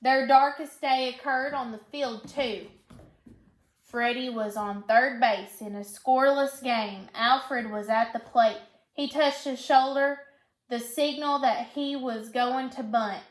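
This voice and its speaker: A woman teacher reading a storybook aloud in an instructional way, pausing to put questions to her pupil and repeat answers. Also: sits high. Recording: echoey room.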